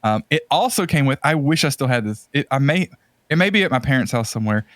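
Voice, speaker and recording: Guy podcast host just chatting, mid pitch, kinda rambly and into it, dry sound. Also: expressive, fast talker, a bit bright, no echo. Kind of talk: casual chat about retro games and movies.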